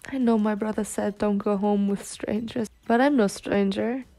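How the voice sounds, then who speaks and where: A woman's voice: mid-range voice, fairly even intonation, measured pace, warm tone, no echo, faint music behind, lightly acted. A woman acting a protective, exasperated, foul-mouthed twin sister who is tender with her girlfriend, in an ASMR roleplay. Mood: tender, amused. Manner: sweary, sighing, half-muttering. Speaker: roleplay voice actor.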